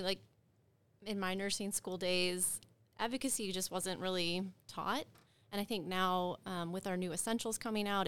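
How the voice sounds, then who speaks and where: Clear, even-toned woman. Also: lowish voice. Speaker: nurse guest. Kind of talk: conversational podcast interview.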